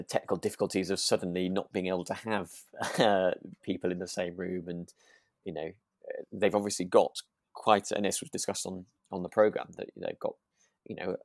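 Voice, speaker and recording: Low, creaky-voiced man chatting tentatively. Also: no room sound, clean studio sound. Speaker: podcast co-host. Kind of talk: relaxed chat discussing a radio drama.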